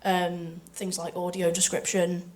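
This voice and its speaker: A woman with a slightly low voice speaks as a guest on a festival panel. She talks conversationally and earnestly, in a personal way, as a performer would about her own work. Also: completely flat delivery, unhurried, darkish tone. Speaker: voice actor and panellist.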